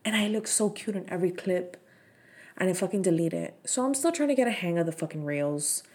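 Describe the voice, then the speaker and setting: A woman in her thirties hosts a solo podcast, talking casually. Her voice sits a little low, and she chats frankly with plenty of swearing and fillers. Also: animated, brisk, darkish tone. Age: 30s. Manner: chatty, frank and profane, with many fillers. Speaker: podcast host.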